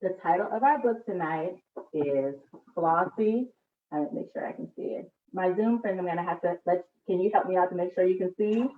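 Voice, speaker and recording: A woman's voice: lowish voice, fairly quick, slight background noise. A woman hosting a live children's read-aloud, chatting to families at storytime. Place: indoors at home, on a live video call. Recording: echoey room, distant muffled mic.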